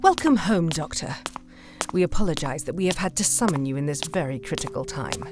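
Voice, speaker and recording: Woman playing a low-voiced, formal noblewoman. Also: animated, a little fast, music in the background. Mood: formal, apologetic. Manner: formal, earnest. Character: grave, formal noblewoman in high authority. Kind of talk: fan audio drama acting.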